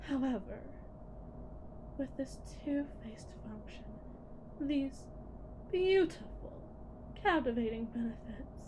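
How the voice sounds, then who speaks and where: A woman playing a condescending, menacing lead technician at a shady tech company in a scripted ASMR roleplay, theatrical and patronizing with deliberate pauses. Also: high voice, lively intonation, background noise. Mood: ominous, measured.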